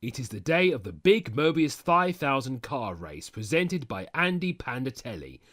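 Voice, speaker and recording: A man's voice: mid-range voice, sing-song, normal speed, a bit bright. A man reading scripted narration, retelling a cartoon adventure episode as a storyteller. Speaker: narrator of an animated-series recap.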